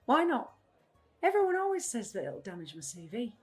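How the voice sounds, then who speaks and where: A woman's voice: a little high, huge pitch swings, brisk, faint music behind. A woman acting in an audio play as a restless, literal-minded, blunt adult woman with a matter-of-fact way of talking. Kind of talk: audio drama dialogue.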